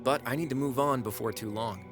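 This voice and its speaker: A man's voice: mid-range voice, a little fast, music in the background, close to an everyday voice. A man acting in an audio drama as a flippant, sardonic minor god who banters casually and wheedles. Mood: grudging, wary. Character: flippant, fast-talking minor trickster god, lately a frog.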